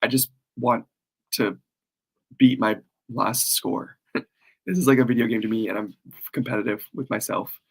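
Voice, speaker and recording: A man with a cold and a worn, hoarse voice, rambling casually through a live stream as he launches his course. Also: medium pitch, fairly even intonation, normal speed, pauses often. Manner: rambling, self-deprecating, frequent fillers.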